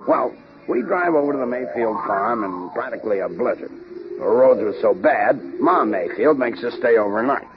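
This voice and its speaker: Breezy American investigator played by a man, slangy, very animated, muffled old radio drama. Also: medium pitch, normal speed, lightly acted. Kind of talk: 1930s radio drama acting and narration. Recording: muffled, noisy 1930s radio recording.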